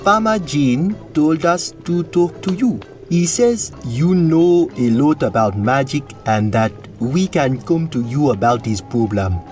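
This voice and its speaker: A man playing a proud, flustered, animated young prince. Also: fairly high pitch, measured pace, few pauses. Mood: earnest. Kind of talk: audio drama acting. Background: music.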